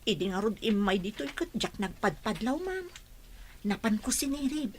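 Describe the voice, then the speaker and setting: A woman's voice: medium pitch, very animated, moderate pace, a little hiss or hum, close to an everyday voice. A woman playing a deferential, gossipy housemaid in an Ilocano radio comedy drama, with an Ilocano accent.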